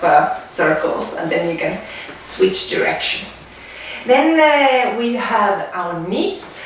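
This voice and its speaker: Finnish-accented woman instructor in an echoey room. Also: mid-range voice. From Finland. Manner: halting, pausing between short phrases while demonstrating. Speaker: dance and fitness instructor. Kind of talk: spoken exercise demonstration. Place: indoors at home, away from the mic. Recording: echoey room, distant mic, faint noise.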